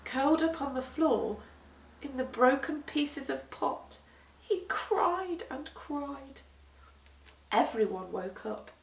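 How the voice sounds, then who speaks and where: A woman reading a children's story aloud with expressive, dramatic emphasis, moving between hushed and swelling delivery. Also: high voice, takes it slowly, gravelly. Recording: echoey room, distant microphone.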